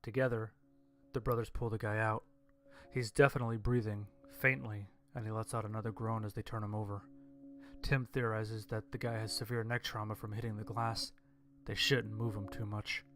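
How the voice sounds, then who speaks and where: A man's voice: fairly low pitch, level tone, normal speed, fairly bright tone. A man reading a scripted horror story as its narrator. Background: instrumental music.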